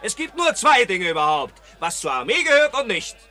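Swaggering, piercingly bright soldier. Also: male voice, high voice, very animated, moderate pace, faint music behind, full character voice. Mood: boastful, defiant. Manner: boastful, declamatory, mocking. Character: swaggering, carefree young rifleman soldier. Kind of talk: 1950s German radio play acting, rhymed verse.

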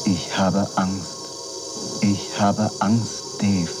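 A man voicing a calm, polite spaceship computer that is fading, speaking in a slow, detached monotone in a dubbed film. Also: low voice. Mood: fearful, fading. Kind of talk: dubbed science-fiction film acting. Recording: film soundtrack with music and hiss.

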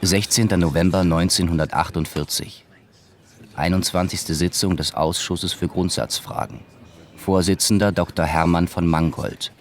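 A sober documentary announcer with a very low voice. He delivers terse, formal statements like session records in a radio drama. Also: fairly even intonation, normal speed, slight background noise. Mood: sober, factual.

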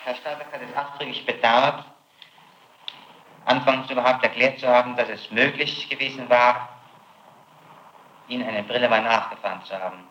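A man's voice: mid-range voice, fairly even intonation, moderate pace, pauses often, bright, forceful tone, dull audio, a little hiss or hum. A man questioning a suspect as a prosecutor, confrontational and persistent, pressing each point. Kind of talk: interrogation. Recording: echoey archival tape of interrogation.

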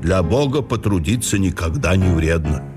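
A man's voice: low-pitched, expressive, normal speed, over music, pitched below the performer's own voice. A man voicing a stern, pious old merchant father who insists earnestly, in a radio play reading. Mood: insistent.

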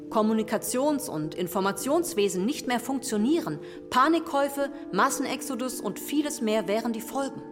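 An actress playing a sober expert delivering a warning report in a radio drama, formal, measured and precise. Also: female voice, medium pitch, close to an everyday voice. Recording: reverberant hall, clear music underneath.

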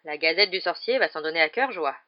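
Une femme qui interprète dans un livre audio un ministre contrarié, soucieux, prompt à protester. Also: female voice, deep voice, animated, fast, highs cut off. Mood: worried. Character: flustered, irritated male government minister. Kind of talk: acted audiobook dialogue.

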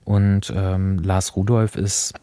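A man's voice: deep voice, level tone, slow pace, dark tone, smooth, no echo. A man hosting a radio discussion in a conversational, reflective way, feeling for his words as he goes. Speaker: host.

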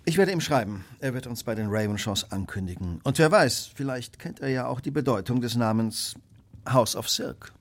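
An actor playing a keen, determined Victorian gentleman detective in a radio play, with precise, polite diction. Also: mid-range voice, animated, moderate pace, close to an everyday voice. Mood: keen, alert.

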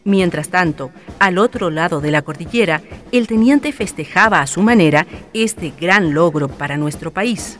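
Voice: medium pitch, lively intonation, normal speed, hardly any pauses, fairly bright tone, faint music behind. A narrator delivering scripted historical storytelling for a radio drama.

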